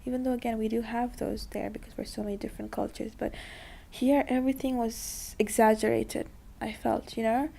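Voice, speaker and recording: Gravelly-voiced young woman, chatty interview. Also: on the high side, level tone, a little fast, warm tone, slight background noise. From Dubai. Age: young adult. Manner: chatty, full of likes and you-knows. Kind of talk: casual interview.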